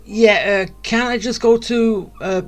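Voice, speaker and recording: A woman's voice: a little high, fairly even intonation, a little slow. A woman giving casual, sarcastic gameplay commentary as a streamer, grumbling a little, with game music behind.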